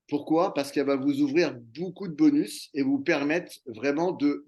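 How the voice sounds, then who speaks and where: Guy hosting a zoom sales pitch, lots of euh and stumbling, average pitch. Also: darkish tone. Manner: hesitant, many fillers and repeated words. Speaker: network marketing team leader hosting a meeting. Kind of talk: enthusiastic recruiting pitch. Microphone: video call.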